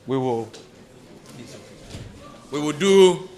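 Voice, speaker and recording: A man's voice: fairly high pitch, expressive, very slow, mellow. Ghanaian man speaking formally at a press briefing. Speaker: opposition party spokesperson at a press briefing. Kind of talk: press conference remarks, answering questions.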